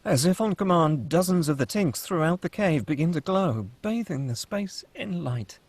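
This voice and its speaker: A man with a fairly high voice narrates a screenplay. He reads action lines and scene headings as scripted storytelling. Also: expressive, normal speed, darkish tone, no room sound. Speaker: stage-direction narrator.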